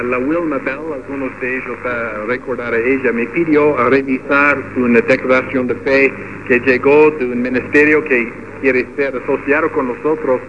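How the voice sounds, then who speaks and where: Male pastor, hesitant Spanish, middle pitch, dull noisy recording. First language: English. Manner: halting Spanish with restarts. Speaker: radio pastor. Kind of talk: church ceremony speech. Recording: muffled with background noise.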